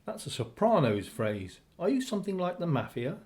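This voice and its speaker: Mellow, earnest, plucky everyman. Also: a little high, big pitch swings, moderate pace. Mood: curious, wary. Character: plucky, literal-minded, polite man facing down gangsters. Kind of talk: radio play acting.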